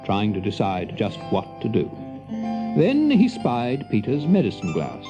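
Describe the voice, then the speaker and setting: A man narrating a scripted children's story dramatically, as an expressive, confiding storyteller. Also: fairly low pitch, a little slow, music in the background.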